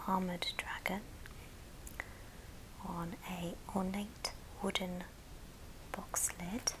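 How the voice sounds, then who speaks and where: A woman speaking in a breathy, unvoiced whisper, gently presenting items in an ASMR show and tell with pauses between short phrases. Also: on the low side, noisy background. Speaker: ASMR video host.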